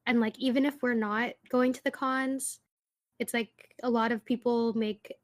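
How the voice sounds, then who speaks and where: A young woman in her twenties chats loosely on a podcast, her voice sitting a little higher than most. She talks casually, full of "like" fillers, and laughs easily between thoughts. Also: steady tone, normal speed. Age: 20s. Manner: chatty, many "like" fillers, laughs often. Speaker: podcast co-host. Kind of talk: casual chat.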